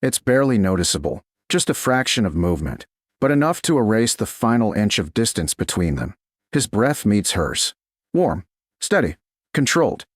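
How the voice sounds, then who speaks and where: A male audiobook narrator reading dramatic romance. His voice is husky and fairly low, with very expressive pitch movement and short, deliberate phrasing. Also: no echo, clean studio sound.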